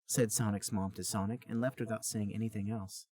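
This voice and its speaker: A man's voice: on the low side, fairly even intonation, a little fast, mellow. A man co-hosting a podcast, reading a story aloud in a mock-serious, dramatic comic style. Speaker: podcast co-host and reader.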